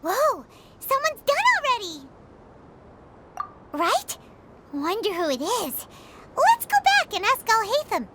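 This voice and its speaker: A woman voicing a tiny, childlike fairy companion in a video game, squeaky, animated and blunt. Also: takes it slowly, pauses often, heavy background noise, full character voice. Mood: excited. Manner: talks of herself in third person, animated.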